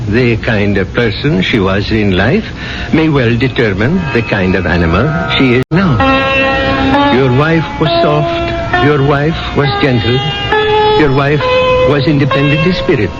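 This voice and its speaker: An actor playing a serene, solemn temple guru in a 1970s radio mystery, speaking formally and in measured, certain pronouncements. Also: male voice, medium pitch, expressive, lightly acted. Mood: solemn, instructive. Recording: muffled 1970s radio broadcast, loud music.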